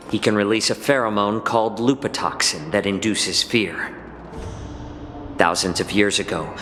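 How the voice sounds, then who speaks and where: Man playing a low-voiced, courteous fox-folk healer. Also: natural voice. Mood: firm, explaining. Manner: polite, measured, formal. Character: courteous, composed male fox-folk healer, a responsible grown-up. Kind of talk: scripted game cutscene acting. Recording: background music under game dialogue.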